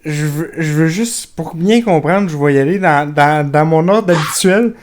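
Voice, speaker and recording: Smooth-voiced Québécois podcast host. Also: male voice, a little high. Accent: Québécois French. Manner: chatty, drawn-out hesitations. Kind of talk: casual podcast interview.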